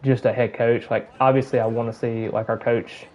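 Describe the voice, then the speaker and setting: A man's voice: medium pitch, little pitch movement, moderate pace, a little mellow, low-quality recording. A man from Alabama with a Southern accent, chatting casually and rambling while he plays a video game on stream. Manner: rambling, filler-heavy, chatting while playing. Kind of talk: casual gameplay commentary.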